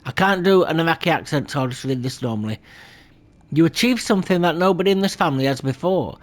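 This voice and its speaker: This is a gameplay commentator with a lively, swinging voice who reads the letters on screen out loud. The reading is plain and unpolished, with small stumbles that get corrected. Now and then the speaker breaks off to talk casually to the viewer. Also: medium pitch, quick pace. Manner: chatty asides to the viewer between readings.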